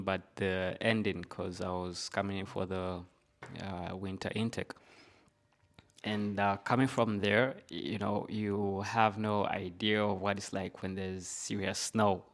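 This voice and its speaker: A man's voice: lowish voice, fairly even intonation, unhurried. A man from Zambia with a Zambian accent, telling his own story conversationally as a podcast guest, with casual filler phrases like "you know".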